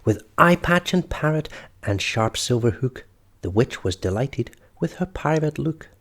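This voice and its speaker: A man's voice: medium pitch, animated, measured pace, a bit bright. A man reading a children's story aloud expressively, as storyteller and host.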